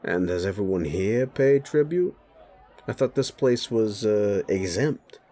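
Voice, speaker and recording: A man voicing a brash werewolf bully boss in an audio roleplay, gravelly, swaggering and blunt, spoiling for a fight. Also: lowish voice, animated, unhurried, mellow. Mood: gruff, impatient.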